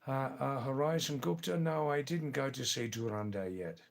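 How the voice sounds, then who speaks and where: An older man with an Anglo-Indian accent hosts a relaxed live stream and answers viewers one by one. His talk rambles along, full of ums, restarts and friendly asides. His pitch sits in an ordinary middle range. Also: normal speed, creaky. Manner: rambling, chatty, many fillers and restarts. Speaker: livestream host. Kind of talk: casual live-stream chat reading viewer comments.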